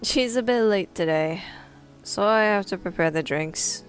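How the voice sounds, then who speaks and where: A woman voicing a friendly young café waitress who speaks gently and politely. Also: mid-range voice, unhurried, faint music behind, close to an everyday voice.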